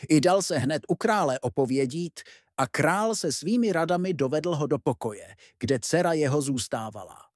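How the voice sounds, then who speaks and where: A man's voice: a little high, animated, darkish tone, dry recording, clean studio sound. A man narrating a fairy tale as a scripted storyteller.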